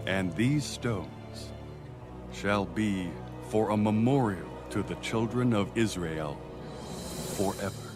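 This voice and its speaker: An actor playing an earnest Israelite leader giving solemn orders in a dramatized audio Bible. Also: male voice, on the low side, slow, over music.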